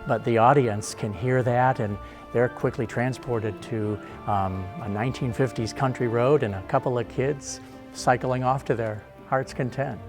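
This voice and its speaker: A man who makes radio sound effects, answering an interview in an expressive, wordy way as he searches for phrases. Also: mid-range voice, moderate pace, over music.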